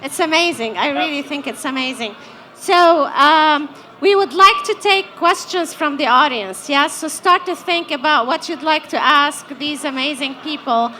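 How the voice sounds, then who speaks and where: A woman's voice: high-pitched, normal speed, sharp tone, low-quality recording. A Lebanese-accented woman from Lebanon leading questions on a live panel, enthusiastic and fond of tag questions. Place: stage at a festival panel.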